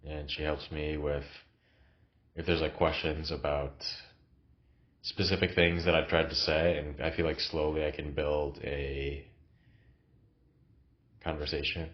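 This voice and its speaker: A man's voice: rumbling low, level tone, unhurried, pauses often, highs cut off. A man with a cough, recording a casual, reflective vlog in his new apartment, talking hesitantly with many fillers. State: has a cough. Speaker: vlogger.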